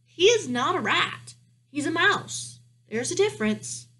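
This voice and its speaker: A woman's voice: sits high, sing-song, measured pace, fairly bright tone, faint music behind, pitched above the performer's own voice, exaggerated voice. A woman voicing a young princess in a children's storybook, light and girlish, innocent and insistent. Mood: pleading. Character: young princess, sweet, stubborn, tender-hearted child.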